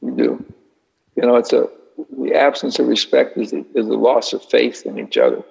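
A man leading a Q&A with an audience in a meeting hall, talking in an unhurried, musing way with dry humor. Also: medium pitch, big pitch swings, gravelly, darkish tone, slightly rough, lo-fi sound. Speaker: speaker leading a Q&A session. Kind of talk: reflective talk answering an audience.

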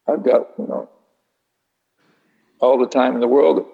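Gravelly, husky man musing to an audience. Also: mid-range voice, sing-song, unhurried, phone-quality sound. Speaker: speaker leading a Q&A session. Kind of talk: reflective talk answering an audience.

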